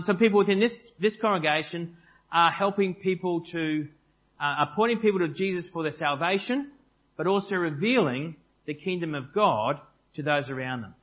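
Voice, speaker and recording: A man leading a church service in a relaxed, chatty way, full of ums. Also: high-pitched, normal speed. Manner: relaxed, chatty, filler-heavy. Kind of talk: informal church address, hosting guests. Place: church sanctuary. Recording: dull lo-fi church recording.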